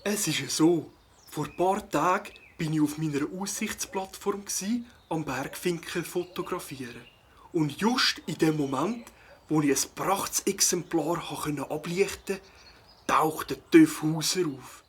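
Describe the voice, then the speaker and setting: A man playing a mild, puzzled bird-watcher in a children's audio play, with a husky voice, a fussy, deliberate manner and a Swiss German accent. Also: on the high side, slight background noise, lightly acted. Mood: explaining. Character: mild, puzzled male bird-watcher, absorbed in his hobby.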